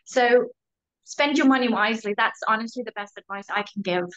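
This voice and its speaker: A woman's voice: a little high, a bit bright. Earnest young woman on a muffled call. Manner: earnest, emphatic, quick asides. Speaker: panelist. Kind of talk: video call panel discussion. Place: room at home with bookshelves. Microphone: video call laptop mic. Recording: dull lo-fi call audio.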